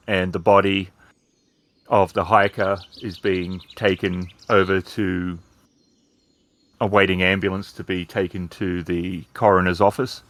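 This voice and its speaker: A man narrating a tabletop roleplaying game as game master, building scenes in deliberate, suspenseful phrases. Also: low voice, fairly bright tone, no room sound.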